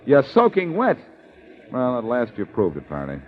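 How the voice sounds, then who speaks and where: A man playing a cocky, sardonic amateur detective, calm under threat, in 1940s radio acting style. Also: mid-range voice, moderate pace. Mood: teasing. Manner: sardonic, teasing. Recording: muffled old radio recording, background din.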